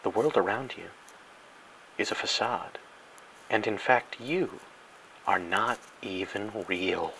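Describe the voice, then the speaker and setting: A man with a fairly low, bright and forceful voice narrates a spoof educational film. He delivers it deadpan, with mock-serious grandeur, over some faint background noise. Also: slow pace, pauses often, poor audio. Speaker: narrator and host.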